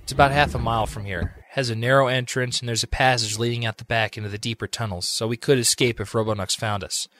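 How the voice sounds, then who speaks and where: Echidna guardian, male actor: mid-pitched, blunt, decisive, sarcastic team leader; amateur radio drama. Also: fairly even intonation, fast talker, hardly any pauses, a bit bright, lightly acted. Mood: businesslike.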